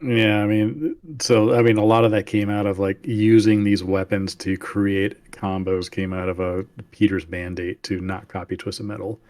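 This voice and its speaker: A man who is a game developer, a guest on a casual podcast, explaining in a measured way. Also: lowish voice, level tone, darkish tone.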